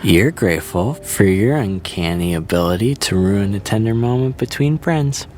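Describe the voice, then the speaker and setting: A man's voice: on the low side, animated, moderate pace, darkish tone, a little hiss or hum, close to an everyday voice. A man playing an earnest, goofy friend. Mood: sincere. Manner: earnest, playful, sing-song. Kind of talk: audio drama acting.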